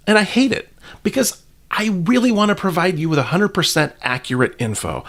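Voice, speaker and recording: A man's voice: a little high, fairly bright tone. A man hosting a podcast in a conversational narrating style, with wry, colloquial asides. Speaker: podcast host.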